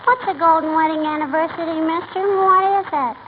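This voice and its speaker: A woman's voice: steady tone, fairly quick. A woman playing an inquisitive, naive little girl who eagerly repeats her questions in old radio comedy style. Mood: eager, curious. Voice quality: high childlike voice. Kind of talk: 1940s radio comedy acting. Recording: tinny, noisy old radio recording.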